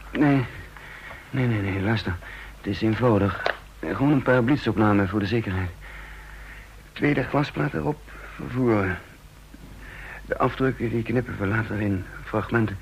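A man's voice: fairly low pitch, normal speed, highs cut off, slight background noise, close to an everyday voice. An actor playing a tense, wounded secret agent who narrates his own mission in a radio drama. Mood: faltering, urgent. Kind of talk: radio drama acting and first-person narration.

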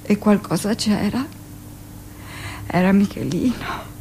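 An actress playing a middle-aged village-born midwife in an intimate, expressive theatrical monologue, with dramatic pauses. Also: female voice, medium pitch, a little hiss or hum, low-quality recording. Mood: hushed, moved. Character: 45-year-old village-born midwife, recounting childhood, emotional, earnest.

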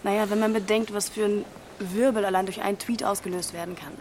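A woman's voice: mid-range voice, fairly even intonation, fast, a little mellow, slight background noise, natural voice. An actress playing a cool, shrewd woman in her twenties in a radio drama, direct and challenging in natural conversation. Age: 20s.

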